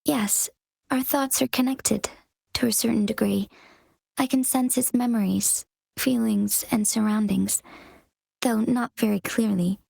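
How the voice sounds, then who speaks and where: A composed, gracious young leader. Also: female voice, a little high, creaky, husky, dry recording, full character voice. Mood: earnest. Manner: calm, formal, measured explanations. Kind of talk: video game cutscene acting.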